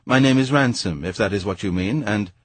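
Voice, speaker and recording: A man's voice: on the low side, brisk, no room sound, close to an everyday voice. A man voicing a courteous, perplexed scholar in an audiobook. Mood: polite. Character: polite, perplexed male scholar.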